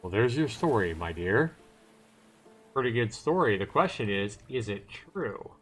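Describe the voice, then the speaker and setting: Low-voiced man chatting over music. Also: big pitch swings, a little slow. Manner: chatty, thinks aloud between read passages. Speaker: livestream host. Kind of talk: reading a visual novel aloud with casual commentary.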